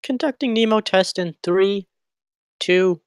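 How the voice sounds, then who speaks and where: A woman's voice: medium pitch, slow pace, lightly acted. A woman at a screenplay table read playing a flippant, questioning drone pilot.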